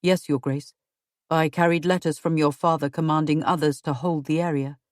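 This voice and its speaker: A woman voicing a worried, steadfast Tudor nobleman who speaks low and solemnly. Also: steady tone, normal speed, no echo, studio quality, close to an everyday voice. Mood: deferential.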